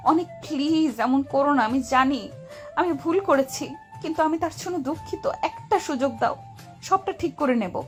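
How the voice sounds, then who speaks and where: A woman's voice: high-pitched, moderate pace, natural voice. A woman acting a heartbroken but determined young rich boss in an emotional romantic audio story. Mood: pleading. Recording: music behind the voice.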